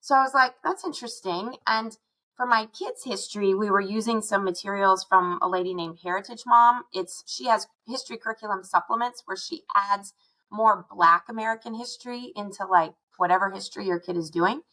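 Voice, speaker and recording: Bright-voiced chatty woman from Arizona. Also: medium pitch, a little fast, poor audio. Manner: chatty storyteller, quotes her own thoughts. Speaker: guest, curriculum founder. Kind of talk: casual interview chat.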